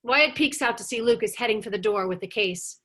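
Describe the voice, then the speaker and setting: Bright-voiced woman narrating a screenplay. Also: a little high, brisk. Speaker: narrator reading stage directions. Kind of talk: scripted screenplay narration for a table read.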